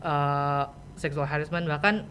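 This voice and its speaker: A man's voice: on the high side, fairly even intonation, a little slow, fairly bright tone. A young man with a Jakarta Indonesian accent hosting a casual, chatty interview and talking straight to his listeners. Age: teenager or young adult. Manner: chatty, informal, addressing listeners directly. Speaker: podcast host. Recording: clear background noise, room ambience.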